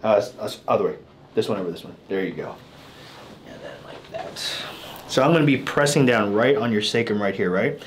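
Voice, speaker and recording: A man's voice: on the low side, animated, a little fast, slight background noise. A man in his thirties working as a chiropractor, giving short casual instructions and reassuring check-ins to a patient in a small treatment room. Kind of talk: casual hands-on talk with a patient.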